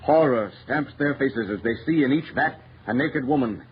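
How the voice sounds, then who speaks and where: A man's voice: medium pitch, normal speed. A man narrating an old-time radio mystery in a grave, theatrical manner on a muffled, hissy vintage recording.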